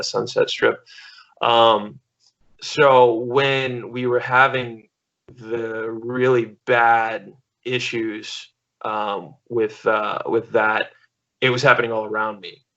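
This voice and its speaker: An American man in his thirties chats casually as an interview guest. His voice is forward and sharp with plenty of fry, and he rambles as he tells stories. The sound is a little dull, like a video call. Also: lowish voice. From small-town Missouri, USA. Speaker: podcast guest, stuntman and actor. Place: living room at home, on video call.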